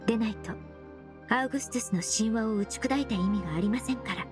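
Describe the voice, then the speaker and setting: Woman as a composed, courteous, higher-voiced young perfumer. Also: music in the background, lightly acted. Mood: polite, modest. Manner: courteous, precise, measured. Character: composed, polite young female perfumer, analytical and gentle. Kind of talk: anime game voice acting.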